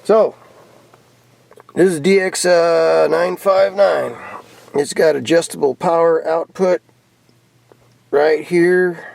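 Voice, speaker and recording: Gravelly American man rambling casually. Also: fairly high pitch, steady tone, slow, long pauses, a little mellow. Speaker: hobbyist vlogger showing off CB radio gear. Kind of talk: casual rambling show-and-tell. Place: in or around a pickup truck. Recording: noisy handheld camera recording with clicks.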